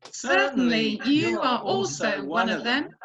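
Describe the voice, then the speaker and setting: An accusing bystander played by a woman in a Bible drama. The voice is fairly high and slow, in dull audio with loud overlapping voices. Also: animated, over a noisy crowd. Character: accusing bystander in a hostile crowd. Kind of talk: dramatic scripture reading.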